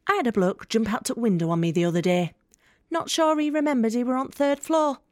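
A woman's voice: on the high side, brisk, no room sound, studio quality, natural voice. A woman playing a blunt, wry young debt collector in an audio drama monologue, with a Northern English accent and a colloquial, matter-of-fact delivery.

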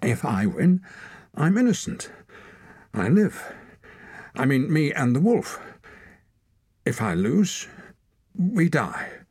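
A cornered, defiant boy, pitched high and loud, pressing his case in breathless bursts, as a male narrator puts him on. The voice is husky and dry, close to the mic. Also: very animated, slow pace, dry recording, heavily acted. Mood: desperate. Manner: pleading, insistent, breathless under threat. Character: wild young boy of the forest, defiant and desperate.

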